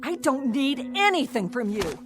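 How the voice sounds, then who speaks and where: High-pitched, hot-tempered, demanding wife. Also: female voice, very animated, normal speed. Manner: demanding, agitated, dramatic. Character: selfish, hot-tempered wife, brassy former chorus girl. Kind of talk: radio drama acting. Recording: music under the voice.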